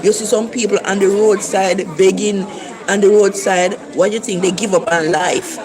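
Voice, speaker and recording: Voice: mid-range voice, steady tone, moderate pace, crowd noise. A speaker from Jamaica with a Jamaican accent, giving a casual, earnest talk of encouragement to livestream viewers and repeating key phrases. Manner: earnest, repetitive exhortation. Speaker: livestream preacher.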